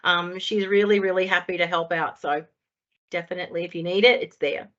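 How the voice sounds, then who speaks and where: A woman's voice: lowish voice, fairly even intonation, fairly quick, a bit bright. A woman hosting a webinar, introducing speakers in a cheerful, giggly way over a video call. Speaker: webinar host. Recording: dull video-call audio.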